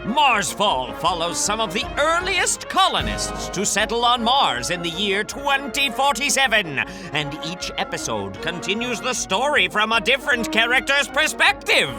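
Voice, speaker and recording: A woman's voice: a little high, big pitch swings, normal speed, few pauses. A woman reading scripted credits as an announcer in a retro radio style. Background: loud music.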